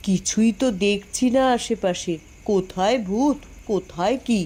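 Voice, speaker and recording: A woman's voice: mid-range voice, a little slow, mellow, breathy edge. A woman voicing a cheeky, grumbling young village girl in a cartoon, emphatic and bold in her delivery. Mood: eager, bold. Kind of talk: Bengali cartoon voice acting.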